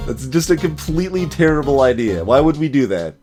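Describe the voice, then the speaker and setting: A man's voice: mid-range voice, sing-song, a little fast, a little mellow, faint music behind, close to an everyday voice. Man voicing a wry young male lawyer. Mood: wary.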